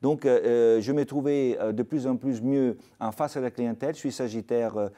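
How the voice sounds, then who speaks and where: An older man from Italy with an Italian accent, a restaurateur telling stories in a TV interview, halting with many fillers. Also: medium pitch, fairly even intonation, dark tone. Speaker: restaurateur guest. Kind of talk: TV interview, anecdotal.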